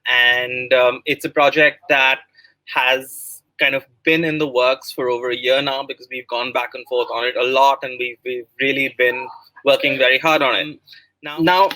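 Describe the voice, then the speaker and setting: A middle-aged man with an Indian accent talking about his work as a writer, pausing and picking his words as he goes. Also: mid-range voice, little pitch movement, pushed and bright, dry recording, poor audio. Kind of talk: pre-recorded talk about own project.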